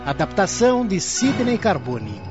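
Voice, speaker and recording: A man's voice: high voice, very animated, unhurried. A man announcing a radio drama in a dramatic, scripted style. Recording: dull lo-fi, loud music behind.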